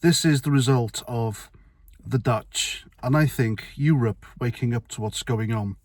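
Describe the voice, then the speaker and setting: Middle-aged male vlogger, mid-pitched, dry sound, rambling and sweary. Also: unhurried, darkish tone, no room sound. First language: Welsh. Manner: blunt, rambling, sweary, with restarts. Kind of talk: casual opinion monologue to camera.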